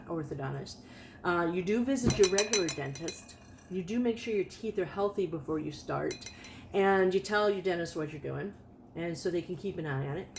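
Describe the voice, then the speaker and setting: A woman's voice: medium pitch, darkish tone, a little hiss or hum. Chatty woman in her late fifties. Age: 50s. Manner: chatty, rambling, with frequent restarts. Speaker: vlogger. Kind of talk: casual personal testimonial.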